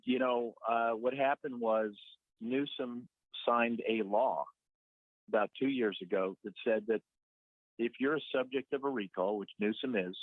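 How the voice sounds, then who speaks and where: Male radio guest, explanatory, low voice, dry tinny phone-line audio. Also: dry recording. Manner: explanatory, joking. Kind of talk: talk radio interview. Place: side room off a hangar.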